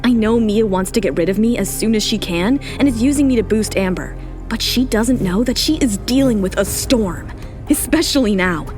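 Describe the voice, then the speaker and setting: A woman's voice: mid-range voice, animated, moderate pace, a little mellow, soft background music, close to an everyday voice. A woman voicing a proud, independent young model who speaks with composed determination in an audio drama. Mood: determined.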